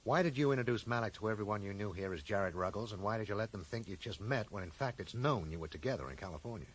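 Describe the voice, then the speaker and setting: A man's voice: on the low side, lively intonation, fast talker, slightly rough. An actor in a radio drama playing a polite but persistent male investigator, probing and apologetic yet insistent. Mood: pressing. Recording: noisy 1980s radio drama broadcast.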